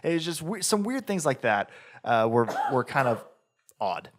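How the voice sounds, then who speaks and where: A man's voice: medium pitch, big pitch swings, moderate pace. A man co-hosting a podcast, reviewing a game in casual chat, talking in a rambling way with lots of fillers and restarts. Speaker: podcast co-host.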